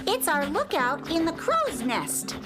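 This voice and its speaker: A woman's voice: high voice, huge pitch swings, unhurried, over loud music, full character voice. A woman playing an eager, dutiful girl who serves as first mate of a flying dream ship in a children's radio play. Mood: earnest, reporting.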